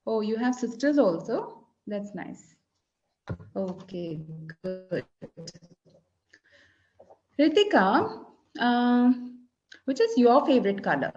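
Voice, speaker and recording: Indian english teacher lady, malayalam speaker, patient and slow, kinda muffled call audio. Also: a little high, big pitch swings, darkish tone. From Kerala, India. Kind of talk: casual tutoring chat. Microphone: video call.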